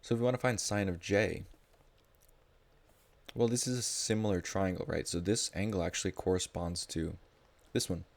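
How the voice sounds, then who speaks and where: A man's voice: low-pitched, steady tone, normal speed, vocal fry. A man tutoring math live in a casual, informal way, thinking aloud as he explains.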